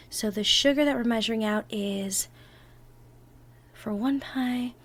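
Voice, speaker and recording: A woman playing an affectionate, playfully bossy girlfriend in a one-sided ASMR roleplay, chatting and thinking aloud as she bakes. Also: fairly high pitch. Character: bubbly, bossy, affectionate young girlfriend baking for Thanksgiving. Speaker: roleplay audio performer.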